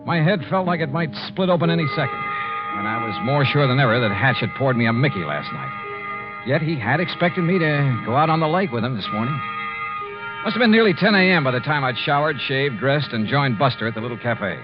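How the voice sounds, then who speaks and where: An actor playing a hardboiled American insurance investigator, groggy but decisive, in 1950s radio detective style. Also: male voice, mid-range voice, lively intonation, fairly quick, natural voice. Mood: hardboiled narration. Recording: muffled vintage radio recording with music.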